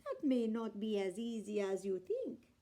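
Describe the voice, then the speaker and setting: A woman's voice: on the high side, big pitch swings, measured pace, warm tone. A woman doing a sugary, crafty wife. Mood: coaxing. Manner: sugary, coaxing. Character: crafty sweet-talking wife, clever and cheerful.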